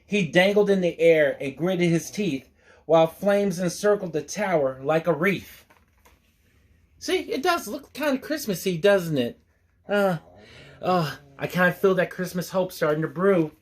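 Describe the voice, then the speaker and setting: A male storyteller with a fairly high-set voice reads at a very leisurely pace. He delivers rhymes expressively and drops in casual, folksy asides to his listeners. The manner is playful throughout. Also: lively intonation, a little slow. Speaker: storyteller reading a picture book aloud.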